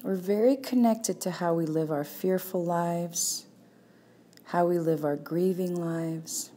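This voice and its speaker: Female meditation guide, mellow and on the lower side, calm, unhurried delivery with roomy pauses between phrases, faint background noise. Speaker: meditation teacher.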